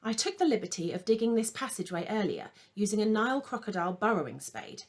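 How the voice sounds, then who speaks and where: A woman voicing an uppity little male elephant shrew, brisk, impatient and officious, in a children's storybook. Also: medium pitch, big pitch swings. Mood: brisk, explaining.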